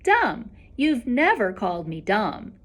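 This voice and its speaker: A woman voicing a nerdy, clever, calmly confident schoolboy with a deliberate, pointed delivery in a storybook. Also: fairly high pitch, breathy edge, full character voice.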